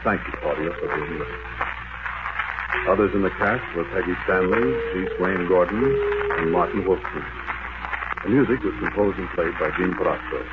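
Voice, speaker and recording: A man making formal, measured closing announcements on a 1940s radio program. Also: sits low. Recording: muffled old radio recording with loud hiss.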